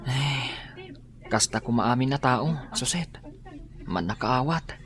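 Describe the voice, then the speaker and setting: An actor playing a sickly, despondent young student and dutiful son in an Ilocano radio drama, speaking in a plaintive, weary way. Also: male voice, mid-range voice, expressive, slow pace, fairly bright tone, faint music behind. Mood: subdued, resigned.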